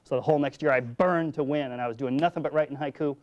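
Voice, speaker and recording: A man's voice: a little high, fast, dull audio. A talkative, playful man chatting casually as a guest poet, sometimes reciting short poems. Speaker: guest haiku poet and slam champion. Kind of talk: casual chat with poem recitation.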